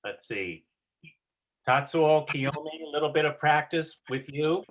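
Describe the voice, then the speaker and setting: A man's voice: on the high side, a little slow, a bit bright, low-quality recording. A man giving casual, encouraging instruction as a teacher directing a play rehearsal.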